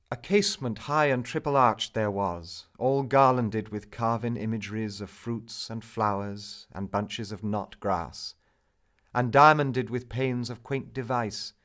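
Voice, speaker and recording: A man with a lowish voice recites narrative poetry very slowly. His delivery is theatrical and measured, swelling on some phrases and dropping to a hush on others.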